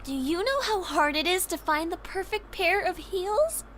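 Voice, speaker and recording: High-voiced, self-assured young Valerie. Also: female voice, expressive, moderate pace, fairly bright tone, a little hiss or hum. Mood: flustered. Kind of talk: voiced visual novel game acting.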